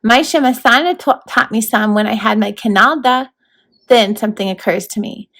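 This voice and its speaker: A woman reading a children's novel aloud as a volunteer storyteller, in an expressive narrating style. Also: on the high side, moderate pace.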